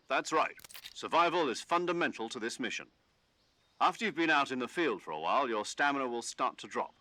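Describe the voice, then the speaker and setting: A man voicing a calm commanding officer who gives instructions over the radio in a game. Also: medium pitch, no echo. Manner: measured, instructive briefing tone. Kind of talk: game dialogue.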